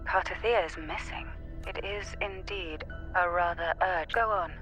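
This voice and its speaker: A woman's voice: on the low side, moderate pace, over music, rough recording. A woman playing a refined, knowing noblewoman in a video game, talking formally and teasingly through a call filter. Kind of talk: video game character acting.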